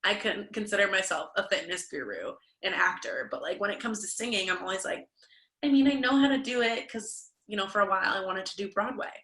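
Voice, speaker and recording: A woman's voice: mid-range voice, animated, a little fast, sharp tone, roomy sound. A young woman, a dancer and fitness instructor, talking openly and reflectively as an interview guest, with lots of fillers. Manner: open, self-reflective, talks with many fillers. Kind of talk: candid conversational interview.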